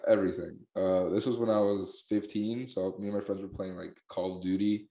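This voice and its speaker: A man's voice: deep voice, steady tone, brisk, vocal fry, dark tone, roomy sound. A young man hosting a podcast in casual chat, laid-back, swearing and laughing easily. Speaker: podcast host.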